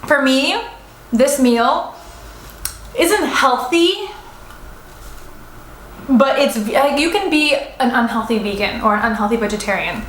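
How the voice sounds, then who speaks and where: A woman's voice: high-pitched, expressive, slow, long pauses, a bit bright, roomy sound, a little hiss or hum, poor audio. A young woman in her twenties, vlogging a casual mukbang chat in a restaurant, rambling with many fillers and restarts. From United States. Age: 20s. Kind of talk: casual mukbang chat, answering viewer assumptions.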